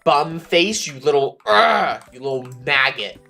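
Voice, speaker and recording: A young streamer with a slightly raspy voice, chatting casually and ranting animatedly, with playful insults. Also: mid-range voice, expressive, a little slow, bright, forceful tone, faint music behind. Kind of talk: casual rambling chat while streaming.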